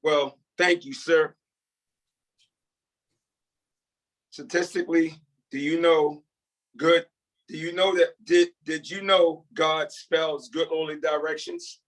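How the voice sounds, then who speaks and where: Man as grateful newly freed convict in drama read-through: earnest, halting delivery, highish bright voice. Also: steady tone. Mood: humbly grateful. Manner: sincere, humble, halting. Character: earnest, grateful, devout male ex-prisoner starting over. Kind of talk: script table read.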